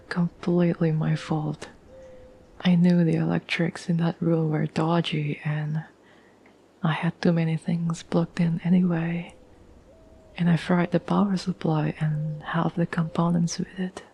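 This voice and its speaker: A woman playing a caring, tender girlfriend in an intimate ASMR roleplay, speaking in a soft, breathy whisper with gentle, halting pauses. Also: fairly low pitch, level tone, exaggerated voice. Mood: warm, grateful. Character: caring, tender girlfriend reassuring her troubled partner.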